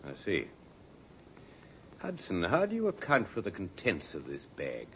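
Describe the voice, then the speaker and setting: An actor playing a dry, shrewd police inspector in a 1950s radio drama, with measured, sceptical questioning. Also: male voice, low-pitched, wildly swooping pitch, fairly bright tone, exaggerated voice. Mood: dryly probing. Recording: muffled, scratchy 1950s radio recording.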